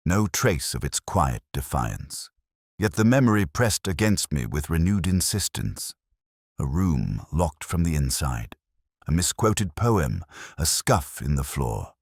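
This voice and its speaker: Male narrator for detective fiction: extremely low voice, lively intonation, brooding and measured, close dry sound. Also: vocal fry, breathy edge, dry recording. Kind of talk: first-person scripted mystery narration.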